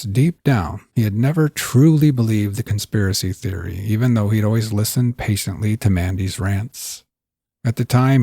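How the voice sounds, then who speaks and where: A man's voice: lowish voice, dark tone, husky, no room sound, studio quality. A man hosting and narrating a scripted fiction story. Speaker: host and narrator.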